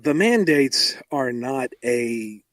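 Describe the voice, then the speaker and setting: A man's voice: medium pitch, animated, slow pace, over loud music. A man in casual podcast talk as a writer, wryly acting out quoted exchanges. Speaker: comic book writer.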